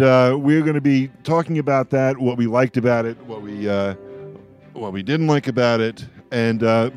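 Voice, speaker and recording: A man's voice: mid-range voice, darkish tone. A man hosting a roundtable in a playful, showman-like way, with joking announcements and some hesitations. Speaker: radio show host. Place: radio show held over a video call.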